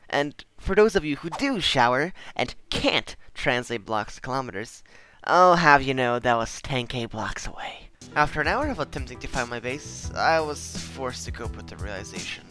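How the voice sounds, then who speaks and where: A young man narrating his gaming footage in a deadpan, mock-epic style, swearing casually. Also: medium pitch. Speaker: gaming vlogger. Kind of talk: comedic scripted narration over gameplay.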